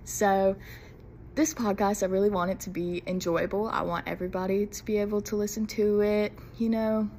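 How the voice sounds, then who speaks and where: A woman's voice: medium pitch, little pitch movement, normal speed, a little mellow, slight background noise. A young woman from Mississippi, hosting her first podcast episode in a casual, nervous, giggly, rambling way. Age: young adult. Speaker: podcast host. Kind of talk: casual solo podcast chat.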